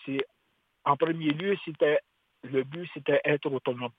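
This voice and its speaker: A man speaks as a radio guest, slowly and haltingly, stumbling through restarts and fillers. His voice comes through a thin, tinny phone line with a faint hiss underneath. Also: fairly high pitch. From Quebec. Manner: hesitant, many restarts and fillers. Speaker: singer-songwriter guest. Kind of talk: radio interview.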